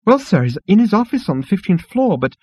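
Squeaky, prissy insect receptionist. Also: male voice, very animated, brisk, darkish tone, breathy edge, dry recording, full character voice. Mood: flustered. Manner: prissy, snippy, fussily polite. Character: fragile, petulant insect receptionist, icy and easily flustered.

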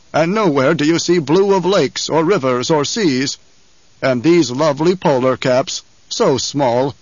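A man voicing a fat, scheming baron villain in an audiobook, with a rumbling, growling basso and a grandiose, savouring delivery. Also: no echo, muffled recording, lightly acted.

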